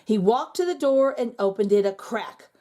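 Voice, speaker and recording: A woman reads a children's picture book aloud as the host of a reading channel. Her storytelling is expressive and sing-song, with lively swings in pitch. Her voice sits a little high, and its tone is warm and mellow. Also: moderate pace.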